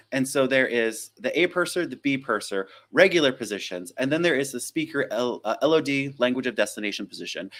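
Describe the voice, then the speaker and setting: This is a man, talking casually on a live stream about his work as a flight attendant. He rambles in a friendly way, teases himself and slips into quick impressions of coworkers and the airline. It sounds like he is at home, close to a desk mic. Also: medium pitch, fairly bright tone. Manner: chatty, self-deprecating, digresses and acts out quotes.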